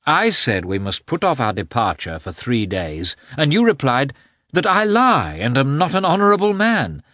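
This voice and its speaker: A man's voice: medium pitch, wildly swooping pitch, moderate pace, fairly bright tone, dry recording, clean studio sound, voice pushed up. A man voicing a proud young officer who talks in a clipped, tense way, holding back anger, in an audiobook reading. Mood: exasperated. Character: proud young male officer, strained and restrained.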